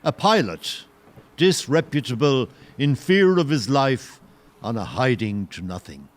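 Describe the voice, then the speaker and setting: An actor as the solemn narrator of an audio play, delivering scripted narration in a portentous, theatrical way. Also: male voice, mid-range voice, animated, measured pace, close to an everyday voice. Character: solemn storyteller introducing and presiding over the play.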